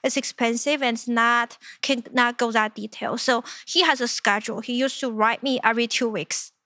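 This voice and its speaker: A young woman from China speaks English with a Chinese accent, her voice a touch higher than most. She recounts her past in a halting, searching way, full of little fillers. The sound is close and dry. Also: fairly even intonation, bright, forceful tone, no room sound. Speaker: interview guest, company founder. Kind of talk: personal storytelling in conversation.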